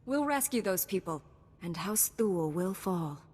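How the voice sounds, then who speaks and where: A woman playing a calm, resolute young knight in a video game, composed, courteous and determined. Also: fairly low pitch, measured pace, slightly rough. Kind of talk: cinematic video game hero acting. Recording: long hall reverb, music behind.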